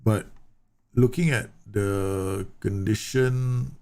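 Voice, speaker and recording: Gravelly-voiced man from Singapore. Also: on the low side, steady tone, drawn-out words, a little mellow. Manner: chatty, explanatory, with frequent fillers and self-corrections. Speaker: streamer. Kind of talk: live game commentary answering chat.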